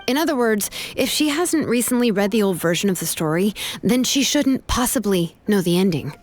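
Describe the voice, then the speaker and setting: A woman's voice: medium pitch, animated, moderate pace, lightly acted. A woman playing a cool, shrewd and determined young woman in a video game, probing and firm in manner. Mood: reasoning, firm.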